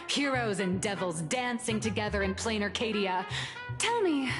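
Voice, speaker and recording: A woman's voice: mid-range voice, in a large hall. An actress playing a playful, scheming creative woman in a video game, with theatrical, sly delivery. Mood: theatrical, intrigued. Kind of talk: video game character acting.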